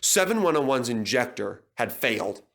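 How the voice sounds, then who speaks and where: Male storyteller for scripted children's narration, mid-range pitch. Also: big pitch swings, normal speed, gravelly, fairly bright tone.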